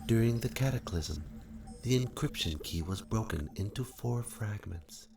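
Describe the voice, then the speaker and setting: An actor playing a calm, formal artificial intelligence, a steward of humanity, giving measured and precise game briefings. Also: male voice, fairly low pitch, creaky. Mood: formal, commanding.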